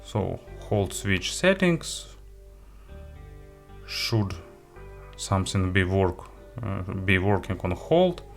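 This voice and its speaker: Low voice guy, non-native english, doing a casual tech review, kinda halting, corrects himself, bit of music in the back. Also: big pitch swings, gravelly. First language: not English. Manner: halting, hesitant delivery with self-corrections and listed items. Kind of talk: casual product walkthrough, unscripted.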